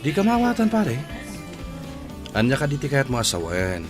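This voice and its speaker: An actor playing an expressive, questioning village man in an Ilocano radio drama, with an Ilocano accent. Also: mid-range voice, moderate pace. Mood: puzzled, concerned. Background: music.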